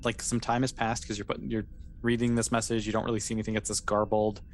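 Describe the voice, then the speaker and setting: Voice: mid-range voice, little pitch movement, fast. Casual game master with noisy background. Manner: casual, conversational. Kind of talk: narrating an improvised roleplaying scenario with casual chat.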